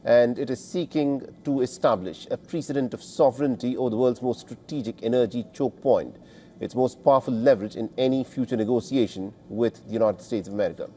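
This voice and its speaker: A man's voice: mid-range voice, a little fast, warm tone. A man reading scripted news narration as a presenter, in a formal, emphatic delivery.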